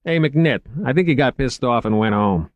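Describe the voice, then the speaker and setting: A man doing a gruff, impatient dispatcher. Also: mid-range voice, animated, moderate pace, no room sound, voice pushed up, natural voice.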